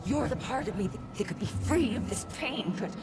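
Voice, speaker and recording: An actress as an anguished woman, high voice. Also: expressive, fairly quick, loud music behind the voice, lightly acted. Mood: anguished, solemn. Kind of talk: dramatic film dialogue.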